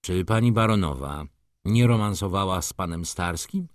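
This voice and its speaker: A man playing a reserved, polite gentleman in a novel, subdued and measured. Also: low-pitched, lively intonation, no echo, studio quality. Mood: calm, polite. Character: reserved, shy, ailing gentleman, politely firm.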